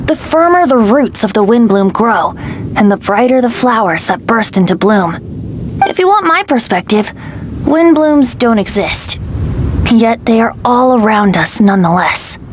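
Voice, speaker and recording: Whimsical young bard boy, game character, airy, teasing, highish voice, animated melodic intonation. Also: unhurried, noisy background. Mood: wistful, storytelling. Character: youthful, playful, whimsical wandering bard boy. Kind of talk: video game voice acting.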